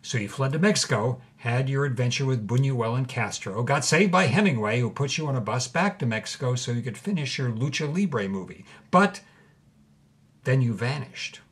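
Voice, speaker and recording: A man's voice: mid-range voice, animated, moderate pace, husky. An actor playing an eager male radio host, delivering a scripted interview show. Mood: engaged.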